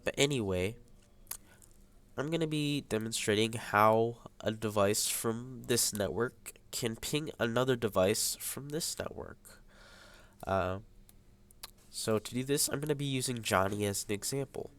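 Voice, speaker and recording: A young man with a stuffy nose, a high school student hesitantly explaining a networking lab over a screen recording. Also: unhurried, fairly bright tone, smooth. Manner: hesitant, many ums and uhs. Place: at a computer, voice over screen capture.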